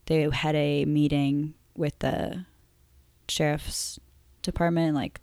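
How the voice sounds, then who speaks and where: A woman musician talking as a podcast guest in a halting, soft way, full of fillers, while losing her voice. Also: sits low, little pitch movement, creaky, no echo, clean studio sound. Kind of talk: casual interview chat.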